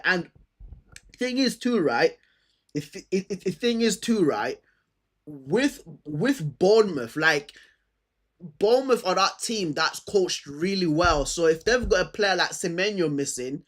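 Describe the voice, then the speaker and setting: A man with a stammer chatting casually and unscripted as he hosts a football preview, using slang and repeating himself as he thinks aloud. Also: a little high. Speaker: football fan host previewing fixtures.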